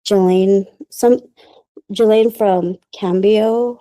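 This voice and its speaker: A woman who grew up in the Philippines talks through her answers in a loose, chatty way, filling her speech with "like" and small laughs. Her voice is mellow and warm at a middle pitch. The recording is dry and close. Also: fairly even intonation, slow, no echo, poor audio. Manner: chatty and rambling, many "like"s, laughs often. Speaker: guest, small business founder. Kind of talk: casual interview answers.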